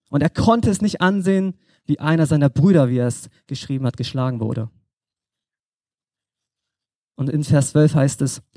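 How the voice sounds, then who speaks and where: A young man preaching in a relaxed, conversational way, speaking straight to the listener as if chatting. His voice sits a little high but sounds mellow and dark. The sound is close and dry, with almost no room echo. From Germany. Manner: conversational, addresses listener directly. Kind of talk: informal sermon.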